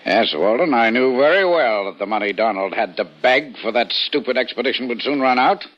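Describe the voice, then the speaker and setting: An actor playing an irascible, pompous older uncle, tight-fisted and sarcastic, in vintage radio drama style. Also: male voice, medium pitch, expressive, a little fast, muffled recording, lightly acted. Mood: scornful, smug. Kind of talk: 1940s-60s American radio drama acting.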